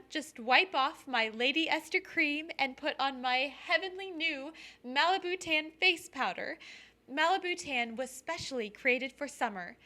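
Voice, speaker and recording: Woman playing a bright, high-voiced gushing beauty authority. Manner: gushing, polished sales pitch. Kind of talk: 1940s radio commercial acting.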